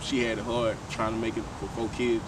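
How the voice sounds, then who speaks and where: A man's voice: medium pitch, level tone, fairly quick, recorded from a distance, background noise. A man, talking loosely in a casual interview and often restarting his phrases. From Shreveport, Louisiana, USA. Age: 20s. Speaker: guest, tattoo artist.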